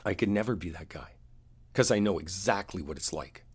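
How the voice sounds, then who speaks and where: Casting: male actor as earnest, hurt but devoted boyfriend for intimate roleplay audio; very low, husky voice, confiding delivery with pauses. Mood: earnest reassurance. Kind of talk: boyfriend ASMR roleplay, intimate one-sided dialogue.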